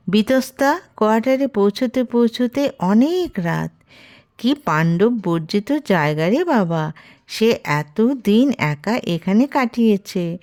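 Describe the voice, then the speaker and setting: A woman narrates a scripted romantic tale. Her pitch sits in the middle range for a woman, and she moves through the sentences at a slow, measured pace. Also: darkish tone. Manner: reads character dialogue within the narration. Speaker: storyteller.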